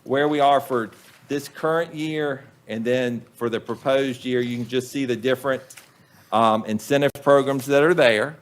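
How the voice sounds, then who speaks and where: A man's voice: mid-range voice, fairly even intonation. Man presenting a school budget. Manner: plain, measured, with frequent ums. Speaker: school superintendent. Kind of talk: budget presentation to a county board. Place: public meeting room.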